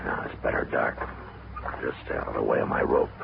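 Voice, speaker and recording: An actor playing a calm, firm frontier marshal with a measured, commanding delivery. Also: male voice, very deep voice, creaky, heavily acted. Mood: hushed. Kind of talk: 1950s radio drama acting. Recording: tinny, hissy old radio broadcast.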